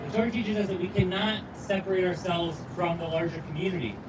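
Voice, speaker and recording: A faith speaker giving an earnest, measured speech at an outdoor rally. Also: mid-range voice, steady tone. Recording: distant mic with loud street noise.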